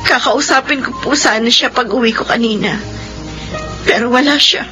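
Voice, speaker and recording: Woman acting as high-pitched long-suffering Filipino wife. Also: moderate pace, over music, natural voice. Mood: weary, tearful. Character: hardworking long-suffering wife and mother, hurt, resolute. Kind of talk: Filipino radio drama acting.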